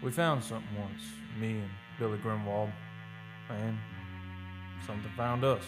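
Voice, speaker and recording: An actor playing a rough, folksy oil roughneck telling his tale in colloquial speech, in an old-time radio drama style. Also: fairly low pitch, steady tone, normal speed, natural voice, rough recording. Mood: musing, storytelling. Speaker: actor and narrator in radio play. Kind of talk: old-time radio drama acting, first-person storytelling. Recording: live radio studio performance with music.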